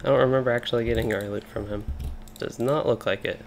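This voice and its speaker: A man's voice: medium pitch, steady tone, fast, slight background noise. A young man from Kentucky, casually commenting while he plays an online game, thinking aloud with many fillers and restarts. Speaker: game streamer.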